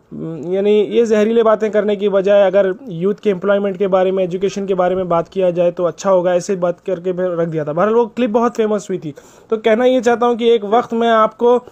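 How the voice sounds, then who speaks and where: A man's voice: high voice, a little mellow. A man making an earnest, persuasive appeal on a recorded phone call, polite and deferential, repeating himself for emphasis. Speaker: caller.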